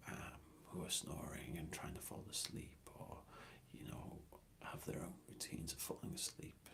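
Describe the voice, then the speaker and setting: Slow, whispering man with gravelly creak. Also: deep voice. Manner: hesitant, thoughtful, soft-spoken. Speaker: ASMR creator answering questions. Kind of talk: whispered ASMR question-and-answer ramble.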